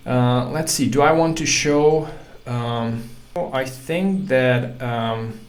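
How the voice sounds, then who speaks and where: A man hosting a language-learning channel, talking casually to camera in halting English with many restarts. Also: mid-range voice. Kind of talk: casual talk to camera, reading quotes aloud. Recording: echoey room with faint ambient noise.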